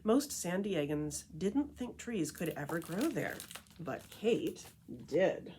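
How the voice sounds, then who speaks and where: Female library storyteller, slightly low voice, animated and emphatic read-aloud delivery for young listeners. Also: slow, a little hiss or hum. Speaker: librarian reading a picture book aloud.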